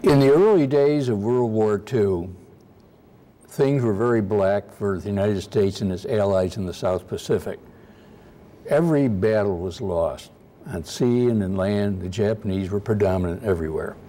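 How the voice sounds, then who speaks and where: Man, soft-spoken, mellow tone, lowish pitch, halting history lecture, faint hiss. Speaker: guest lecturer. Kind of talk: slide-show history lecture. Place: lecture room at a convention.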